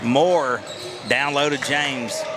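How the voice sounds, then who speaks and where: A man, announcing a high school basketball game play-by-play and bantering with his partner in a school gym. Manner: quick, excitable calls with friendly banter. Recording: loud gym noise behind. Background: gym crowd and bouncing basketball.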